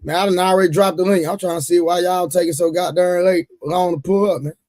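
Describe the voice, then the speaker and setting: A hoarse man hosting a live panel stream, talking in loose, teasing slang. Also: high-pitched, steady tone, fast, a little mellow, no room sound, studio quality. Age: adult with an eleven-year-old son. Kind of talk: casual live-stream banter.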